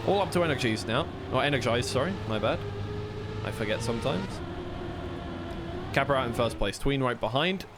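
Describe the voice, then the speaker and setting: A man's voice: medium pitch, expressive, normal speed, long pauses, background noise. A man commentating live on an esports racing match, casually rambling and correcting himself between bursts of play-by-play. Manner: rambling, self-correcting asides.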